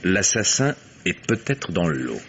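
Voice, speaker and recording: Low-voiced, cool detective. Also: male voice, sing-song, measured pace, dull audio. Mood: brisk, assured. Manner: assured, wry, explanatory. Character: confident, sly male private detective, coolly ironic. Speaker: actor in a radio mystery. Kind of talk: French radio detective drama acting. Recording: noisy old radio drama recording.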